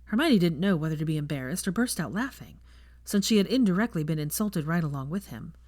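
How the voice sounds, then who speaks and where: A woman narrates fiction in a slightly low voice. Her delivery has lively, varied pitch, and the sound is dry and close. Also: fast, dry recording. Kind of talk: scripted story narration.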